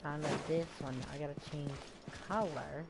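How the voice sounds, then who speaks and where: A woman's voice: deep voice, steady tone, normal speed, heavy background noise. A woman giving casual gameplay commentary, muttering to herself as she plays, with game music behind her. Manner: muttering to self while playing. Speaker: game streamer. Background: loud video game music.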